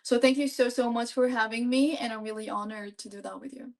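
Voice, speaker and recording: A woman's voice: on the high side, steady tone, a little fast, gravelly. Chatty young woman podcast guest. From Palestine. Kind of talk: casual interview chat.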